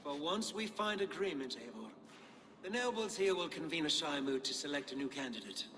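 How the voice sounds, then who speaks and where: High-pitched, formal medieval lord. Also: male voice, animated, a little fast, fairly bright tone. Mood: stately. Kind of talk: dramatic video game acting. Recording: long hall-like reverb.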